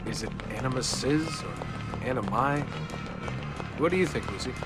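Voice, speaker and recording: A man's voice: mid-range voice, expressive, a little slow, lightly acted. An actor playing a wry, curious young man on the run in a video game, casual and questioning. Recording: game audio under loud music. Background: loud game soundtrack music.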